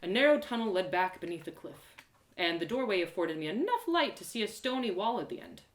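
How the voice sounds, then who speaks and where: Expressive woman storyteller in an echoey room. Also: medium pitch. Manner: theatrical, expressive, with dramatic pauses. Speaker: storyteller performing an audio drama live. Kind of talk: animated first-person dramatic story narration.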